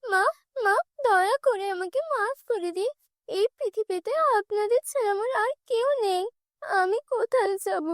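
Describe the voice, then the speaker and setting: A woman's voice: very high-pitched, moderate pace, no room sound, studio quality, pitched above the performer's own voice. A woman voicing a meek, mistreated young stepdaughter in a cartoon, timid and earnest. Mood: pleading.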